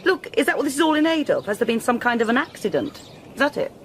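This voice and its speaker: A woman acting a sharp, highly strung wife in her thirties in a naturalistic radio drama, expressive and insistent. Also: fast, crowd noise. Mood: wary, impatient. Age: 30s.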